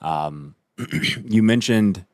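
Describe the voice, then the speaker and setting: A man hosting a podcast, asking thoughtful questions in a measured way. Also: fairly low pitch, lively intonation, a little mellow, no room sound, studio quality. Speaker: podcast host. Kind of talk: interview questions.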